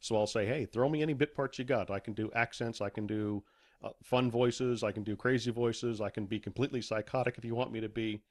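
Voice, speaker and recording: A man's voice: medium pitch, steady tone, fairly quick. A man speaking casually as a podcast guest, a writer and voice actor telling anecdotes. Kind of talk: casual anecdotal interview chat.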